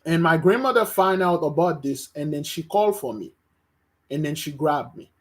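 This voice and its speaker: A man's voice: fairly high pitch, lively intonation, moderate pace. A man from Haiti, preaching informally online to his brethren, emphatic and repeating himself, often stumbling and restarting. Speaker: religious teacher.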